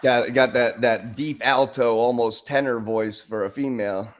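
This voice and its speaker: A man's voice: mid-range voice, fairly even intonation, normal speed. A man with a powerful voice, a guest singer chatting casually and telling stories eagerly with many restarts. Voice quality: powerful singing voice. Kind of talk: casual chat.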